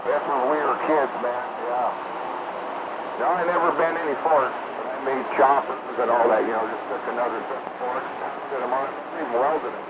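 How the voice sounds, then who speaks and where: A man chats over CB radio in a loose, informal, blokey style. His voice sits in the middle of the male range. The transmission is dull and lo-fi, buried in very loud noise. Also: mid-range voice, fairly even intonation, normal speed. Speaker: CB radio operator. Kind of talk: casual chat over CB radio.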